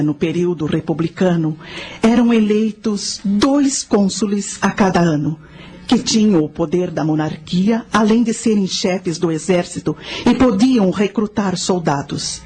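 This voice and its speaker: A woman's voice: mid-range voice, a little mellow, natural voice. A woman playing a knowledgeable priestess who explains things formally in a radio drama. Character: female priestess spirit guide, knowledgeable, instructive.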